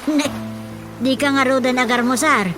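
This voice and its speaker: A woman acts out a sharp-tongued Ilocano woman in a radio drama comedy, scolding and teasing. Her voice sits a little high, with music clearly playing behind it. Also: normal speed, natural voice.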